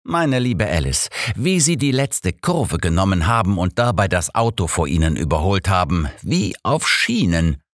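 Audiobook role: shrewd elderly gentleman detective, polite and measured, male narrator, dry sound. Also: deep voice, big pitch swings, no echo. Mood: admiring. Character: old male detective, shrewd, courteous, composed. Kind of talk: audiobook character voice.

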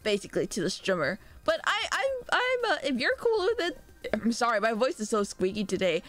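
A young adult woman streaming live, chatting excitedly with viewers and thanking them by name, speaking through a sore throat. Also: on the high side, a little fast. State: sore throat, a little sick. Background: faint background music.

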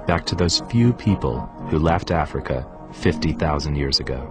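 Middle-aged American man, very low voice, earnest documentary host over loud music. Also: expressive. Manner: earnest, measured delivery. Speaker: documentary presenter. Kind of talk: scripted documentary narration. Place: photo studio, indoors. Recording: music bed underneath.

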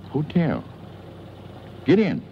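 An actor playing a folksy, easygoing small-town driver in a 1940s film. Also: male voice, a little high, wildly swooping pitch, slow, gravelly, dark tone, heavily acted. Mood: folksy, chatty. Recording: dull noisy 1940s film soundtrack.

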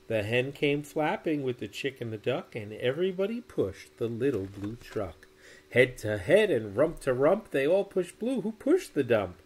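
A man in his forties reading a children's storybook aloud in a measured, sing-song way. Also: mid-range voice, darkish tone. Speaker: storybook reader. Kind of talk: expressive children's read-aloud. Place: small indoor room.

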